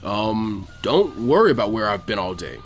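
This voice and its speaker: A laid-back male ninja teacher played by a story reader, a man. He talks in a low-key, evasive drawl, with faint music underneath. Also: sing-song, moderate pace, heavy reverb, in a large hall.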